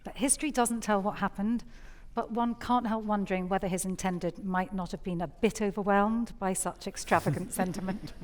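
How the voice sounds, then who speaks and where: A woman hosting a poetry evening, giving scripted introductions from a theatre stage. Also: medium pitch.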